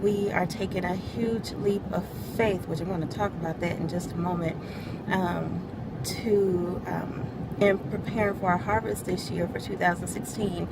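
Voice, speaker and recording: A woman who oversees a church's media ministry gives a midweek devotional. She stresses key words, gets louder as she explains scripture, and laughs lightly between remarks. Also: fairly low pitch, steady tone, normal speed, vocal fry, heavy background noise.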